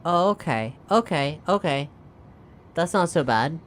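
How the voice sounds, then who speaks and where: A man's voice: fairly high pitch, animated, measured pace, a bit bright, a little hiss or hum. A man chatting informally and inquisitively as a player in a tabletop roleplaying game. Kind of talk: casual improvised game chat.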